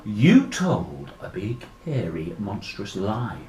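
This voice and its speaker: A man voicing a big, hairy, greedy monster in a children's story, slow and emphatic, relishing each word. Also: lowish voice, very animated, warm tone, reverberant, slight background noise, pitched below the performer's own voice. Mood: accusing. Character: big blue hairy monster, a boy's lie, greedy and bold. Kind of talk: children's storybook character reading.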